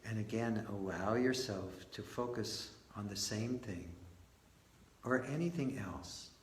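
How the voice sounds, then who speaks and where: A man with a fairly low voice leads a guided meditation in a roomy, echoing space. He speaks very slowly, offering short, gentle invitations as questions and leaving long pauses between them. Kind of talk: guided meditation led live, slow and spoken in short phrases. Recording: roomy echo with faint background noise.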